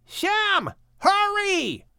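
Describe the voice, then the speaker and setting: A man's voice: extremely high pitch, wildly swooping pitch, very slow, gravelly, pushed and bright, no room sound, clean studio sound, pitched above the performer's own voice, heavily acted. A man voicing an anxious young man in an audiobook adventure, calling out urgently. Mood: urgent, alarmed.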